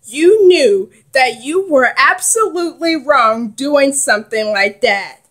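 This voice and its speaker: A woman's voice: high-pitched, lively intonation, slow, a bit bright, exaggerated voice. A woman playing a firm mother who lectures her children. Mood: stern scolding. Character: stern, scolding mother.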